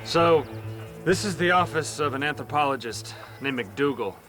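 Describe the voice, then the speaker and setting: An actor playing a brusque, disdainful government agent in a western video game, curt and authoritative. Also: male voice, on the high side, expressive, moderate pace, loud music behind the voice, close to an everyday voice. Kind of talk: video game cutscene acting.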